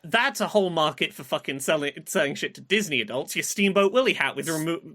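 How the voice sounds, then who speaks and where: A woman co-hosting a casual podcast, talking in an animated, restless way with plenty of swearing. Her voice sits a little low but sounds bright and sharp, and her pitch moves around a lot. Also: fast talker. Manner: animated, swears freely, frequent restarts. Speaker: podcast co-host. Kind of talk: casual chat.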